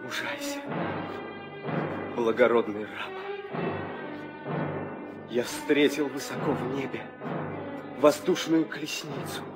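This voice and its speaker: A loyal eagle king, wounded and dying. He speaks slowly in a fairly high voice over clear music, in theatrical 1960s audio drama style. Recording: old studio recording under loud music.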